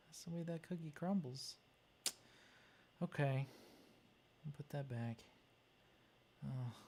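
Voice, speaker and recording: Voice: mid-range voice, gravelly, a little mellow, slight background noise. A livestream host chatting casually and muttering hesitantly while thinking aloud through a task. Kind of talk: casual chat while working on a wiring diagram.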